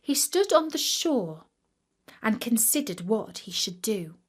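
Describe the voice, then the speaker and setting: A woman narrating a scripted fairy tale for children in a careful, expressive manner. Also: fairly high pitch, slow, breathy edge. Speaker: storyteller.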